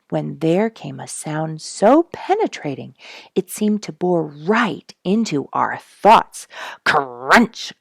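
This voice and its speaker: Woman storyteller, low and very creaky, expressive children's narration with deliberate dramatic emphasis. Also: no room sound, studio quality. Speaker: storyteller and audiobook reader, program host.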